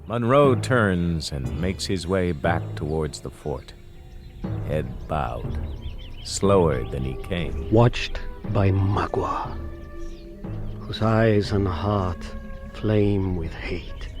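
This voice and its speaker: An actor giving grave, deliberate, dramatic narration as the storyteller of a frontier adventure radio drama. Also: male voice, low voice, exaggerated voice. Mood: solemn, ominous. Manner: deliberate, solemn. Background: loud dramatic music.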